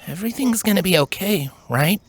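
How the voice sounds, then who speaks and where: A man acts out a small young male dragon for a storybook tale. The voice is boyish and raised in pitch. He comes across timid, hesitant and anxious. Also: animated, fairly quick, slightly rough. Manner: hesitant, nervous.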